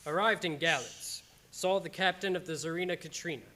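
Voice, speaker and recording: A man acting solemn journal-reading young man, higher-pitched. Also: a little fast, very noisy, close to an everyday voice. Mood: solemn, tense. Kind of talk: dramatic radio-play narration. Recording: live-streamed radio play with music bed.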